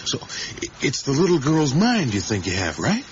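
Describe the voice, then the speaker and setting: A man's voice: mid-range voice, huge pitch swings, moderate pace. An actor playing a curious, courteous male traveller in a radio drama, asking polite, probing questions and listening attentively. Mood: intrigued. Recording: muffled, noisy 1980s radio recording.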